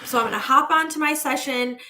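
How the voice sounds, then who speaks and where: A woman hosting a casual livestream as a manifestation coach, talking warmly to her viewers and checking in with "okay?" as she goes. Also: sits high, fairly quick, a little hiss or hum. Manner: effusive, repeating "okay?" and reading out viewer comments.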